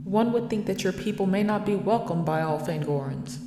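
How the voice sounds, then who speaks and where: A woman playing a composed, compassionate starship first officer in an audio drama, gentle and measured. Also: mid-range voice, animated, close to an everyday voice. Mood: sympathetic, measured. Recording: echoey hall reverb.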